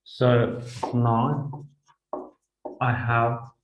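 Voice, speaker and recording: A man with an Indian English accent teaches vocabulary in a classroom that rings with echo. His voice sits at an ordinary middle pitch. He says each phrase slowly, often twice, and keeps asking "right?". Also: flat delivery, narrow, tinny audio, soft background music. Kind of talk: whiteboard vocabulary lesson. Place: classroom in front of a whiteboard. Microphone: lapel mic. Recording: echoey classroom.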